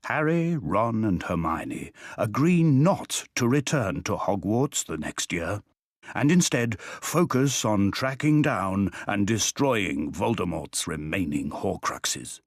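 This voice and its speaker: A man's voice: fairly low pitch, very animated, takes it slowly, no room sound, studio quality. A man narrating a scripted story as a game's narrator.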